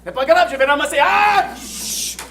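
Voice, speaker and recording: A man with a Quebec French accent playing an anime-style sensei in a live improv scene, theatrical and shouting battle cries. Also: very high-pitched, normal speed, heavy background noise. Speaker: improv player. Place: improv match venue with audience. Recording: from far off, echoey stage with crowd.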